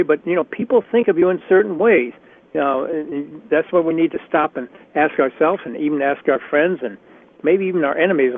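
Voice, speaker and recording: A man with a slightly raspy voice leading a career workshop on a conference call, chatty and encouraging with frequent restarts. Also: a little high. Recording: tinny phone line.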